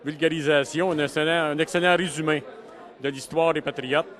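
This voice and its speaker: A man's voice: on the high side, fairly even intonation, fairly quick, fairly bright tone. A man with a Quebec French accent gives considered remarks in an interview as a member of an audience. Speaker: audience member giving opinion.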